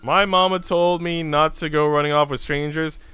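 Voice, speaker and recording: Visual novel child: whiny, pouty little girl man performing, raised pitch, music behind. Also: steady tone, moderate pace, sharp tone, smooth. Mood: shyly trusting. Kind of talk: visual novel character read aloud by a streamer. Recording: background music.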